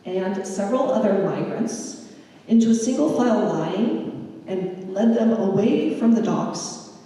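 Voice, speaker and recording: Woman giving a slow history talk, mellow voice, far from the mic in a big echoey hall. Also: medium pitch, animated. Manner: measured storytelling, unhurried. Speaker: history presenter. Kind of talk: public history lecture. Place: lecture hall.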